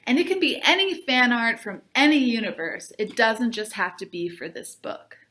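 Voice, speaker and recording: A woman, a librarian, reading a children's book aloud and chatting to viewers in an expressive, upbeat way. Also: on the high side, moderate pace.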